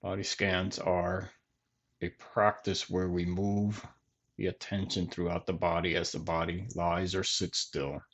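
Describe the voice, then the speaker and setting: Slow, low-pitched man guiding meditation. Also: little pitch movement. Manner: gentle, measured instruction with long pauses. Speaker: meditation teacher. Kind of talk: guided body scan meditation.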